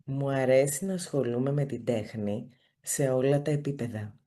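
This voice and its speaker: A woman's voice: rumbling low, fairly quick, dark tone. A woman with a Greek accent, a native Greek speaker, hosting a casual, playful interview. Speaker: show host.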